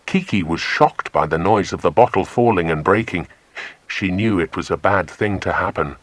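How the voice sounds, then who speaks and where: A man's voice: low-pitched, animated, moderate pace, fairly bright tone, no echo. A man narrating a children's adventure story from a script as an audiobook.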